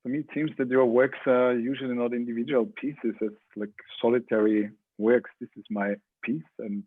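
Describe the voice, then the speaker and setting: A man moderating an informal talk as interviewer, speaking in a halting, deliberate way with frequent pauses. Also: medium pitch, fairly even intonation. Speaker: interviewer, art space organizer. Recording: dry, narrow phone-like call sound.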